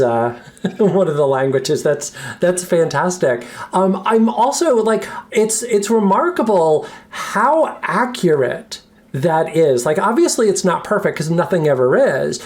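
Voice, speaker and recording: Low-voiced woman host, casual. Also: darkish tone. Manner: repeats words, drawn-out questions. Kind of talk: casual interview chat.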